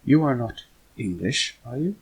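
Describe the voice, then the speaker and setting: A man's voice: mid-range voice, animated, normal speed, husky, voice pushed up, poor audio. A man reading the part of a curious, hesitant nine-year-old English boy, speaking in a tentative, questioning way.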